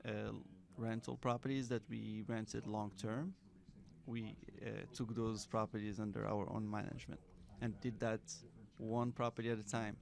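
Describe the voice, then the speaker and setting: A man from Morocco, a guest entrepreneur talking casually in an interview, halting and repeating words, laughing often. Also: on the low side, gravelly. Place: Miami.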